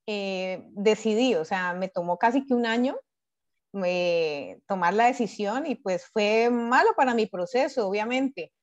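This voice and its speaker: A woman's voice: mid-range voice, expressive, a little slow. A woman from Cali with a Colombian accent, speaking casually as a guest in a testimonial interview. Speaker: interview guest, former exam candidate. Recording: dull, lo-fi audio.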